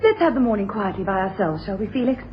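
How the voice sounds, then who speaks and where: An actress playing a solicitous, genteel society wife, polite and attentive, in a radio drama. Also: female voice, mid-range voice, animated, fast, close to an everyday voice. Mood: pleasant. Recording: dull, noisy 1980s radio broadcast.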